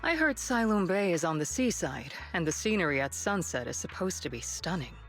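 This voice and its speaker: Lowish, poised, probing woman. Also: big pitch swings, fairly quick, a bit bright, soft background music. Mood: wry, poised. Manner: poised, questioning. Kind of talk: video game voice acting.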